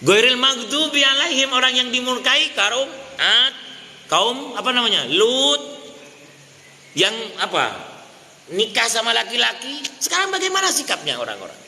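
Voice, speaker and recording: A man preaching a sermon in a hall with a long reverb tail and faint noise behind him. His voice is sharply bright and forceful. He keeps turning to his listeners with questions. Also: very high-pitched, big pitch swings, gravelly. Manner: addresses listeners as Pak, asks the crowd questions; chants Quranic verses slowly. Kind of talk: mosque sermon.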